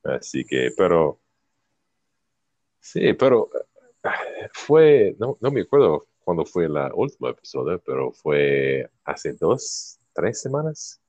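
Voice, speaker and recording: A man hosting a casual chat in Spanish as a learner whose first language is English, halting and searching for words. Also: sits low, big pitch swings, darkish tone, dry recording, lo-fi sound. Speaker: podcast host.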